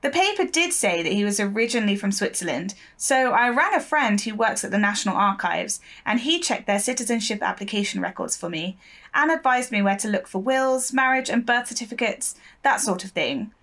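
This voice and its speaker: An actress playing a persistent, shrewd newspaper reporter in an audio drama, speaking confidently and articulately. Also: female voice, mid-range voice, expressive, a little fast, fairly bright tone. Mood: assured, methodical.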